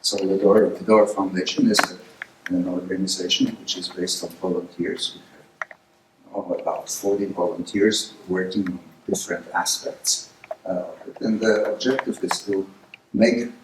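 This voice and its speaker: A middle-aged man whose first language is Polish, giving a lecture presentation, hesitant with many filler pauses but rising with emphasis on key points. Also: sits low. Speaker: guest speaker. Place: lecture room. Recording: echoey hall, distant mic, faint noise.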